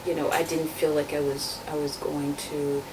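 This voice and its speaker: Woman, low pitch, hesitant interview answers, distant mic, noisy background. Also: little pitch movement, moderate pace. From Tunisia, born in France. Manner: hesitant, many fillers and restarts, explaining. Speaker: constituent assembly member, guest.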